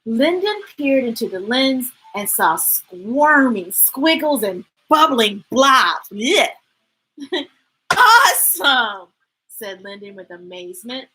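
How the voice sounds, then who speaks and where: A woman's voice: a little high, animated, slow pace, pushed and bright, a little hiss or hum, rough recording. A woman reading a children's storybook aloud expressively, dropping into chatty asides to her listeners. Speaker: storytime host reading picture books aloud.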